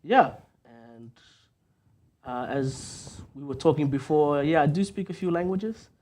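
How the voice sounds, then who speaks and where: A young man guesting on a radio chat show, talking softly with many ums and uhs. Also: a little high, measured pace.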